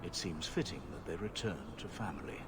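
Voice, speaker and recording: An actor playing a solemn, reverent man with formal, measured phrasing in a video game drama. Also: deep voice, close to an everyday voice. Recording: game audio under loud music.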